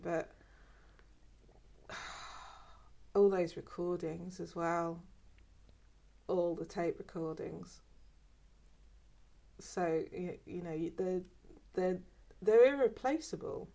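A woman's voice: lowish voice, slow pace, vocal fry, long pauses. A woman in her fifties with a mixed, mostly southern English accent, talking hesitantly in an oral history interview, often repeating words. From England. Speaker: former archive worker.

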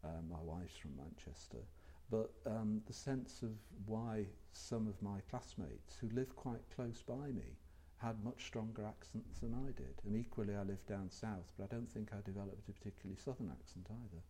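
A man's voice: fairly low pitch, animated, moderate pace, few pauses, dark tone, breathy edge. An older man, a former university lecturer, recalling his career in a reflective, hesitant way full of ums. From England. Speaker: retired lecturer being interviewed. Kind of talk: oral history interview.

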